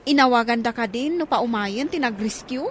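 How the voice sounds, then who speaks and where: A woman's voice: a little high, normal speed, a little hiss or hum, close to an everyday voice. A woman with an Ilocano accent playing an anxious, agitated woman full of questions in a radio drama. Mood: startled, anxious. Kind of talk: Filipino radio drama acting.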